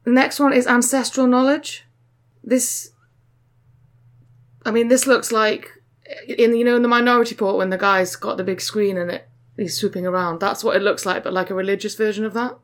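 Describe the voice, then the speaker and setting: A woman's voice: a little high, fairly even intonation, moderate pace. Playful woman podcast host riffing. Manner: playful, joking, riffing on card art. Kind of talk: casual podcast chat.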